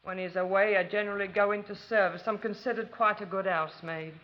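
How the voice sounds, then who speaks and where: A woman acting a stiff, downtrodden housemaid wife in a radio drama, quiet and reserved. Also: medium pitch, fairly even intonation, quick pace, close to an everyday voice. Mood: guarded, subdued. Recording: muffled old radio recording.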